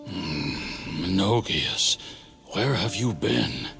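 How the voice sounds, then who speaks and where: A confused dying warrior from a game, low halting voice, weary, music behind. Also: male voice, animated, gravelly, a bit bright, exaggerated voice. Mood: dazed. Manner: halting, weary, labored delivery. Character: dying, confused, amnesiac male Yaksha warrior. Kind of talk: dramatic video game acting.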